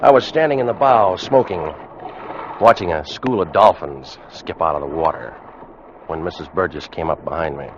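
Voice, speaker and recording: A man's voice: sits low, normal speed, background noise. An actor playing a plain-spoken, uneasy ship's officer who tells his tale in an old-time radio drama. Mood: brooding narration. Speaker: narrator and lead character. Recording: muffled vintage radio broadcast, music.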